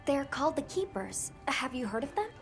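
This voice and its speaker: A courteous young field guide in a game cutscene. Her voice sits fairly high and she speaks in a composed, instructive way, with music playing behind her. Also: very animated, brisk. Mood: polite, warm. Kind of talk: video game cutscene acting.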